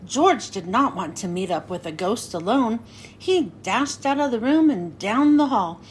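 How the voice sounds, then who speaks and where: Woman reading a children's picture book, high voice, animated rise and fall, slow and clear, sing-song storytelling. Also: slight background noise. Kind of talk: picture book read-aloud.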